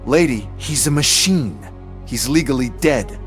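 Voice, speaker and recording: Game villain-type male actor: contemptuous corporate man, condescending and sarcastic, medium pitch. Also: expressive, a little slow, faint music behind, lightly acted. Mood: smug, sneering. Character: smug, contemptuous corporate man who despises the cyborg. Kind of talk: video game cutscene acting.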